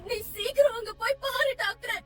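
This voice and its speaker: A tearful, devout young woman in a TV serial, her very high voice shaking with sobs. She sounds a little distant from the mic, with soft music running underneath. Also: moderate pace. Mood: sobbing. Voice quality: sobbing, shaky. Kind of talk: TV serial acting.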